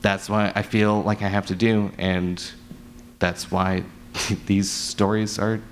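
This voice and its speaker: A man's voice: on the low side, little pitch movement, slow, a little hiss or hum. A man speaking earnestly and off the cuff on a panel as an audio drama creator, rambling and repeating words for emphasis. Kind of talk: unscripted panel talk. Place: live convention panel. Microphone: wired panel microphone.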